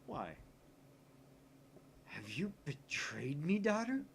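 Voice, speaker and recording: A man voicing a paranoid, theatrical young male mad scientist from a visual novel, excitable and suspicious. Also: fairly high pitch, takes it slowly, gravelly, slight background noise, voice pushed up, full character voice. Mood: outraged, accusing. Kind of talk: visual novel read aloud in character.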